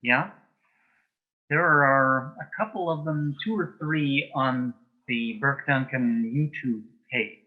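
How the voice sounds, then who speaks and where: A man answering as an interview guest in a matter-of-fact, measured way, telling small anecdotes in conversation. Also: mid-range voice. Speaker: interview guest, author and playwright. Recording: dull, band-limited sound.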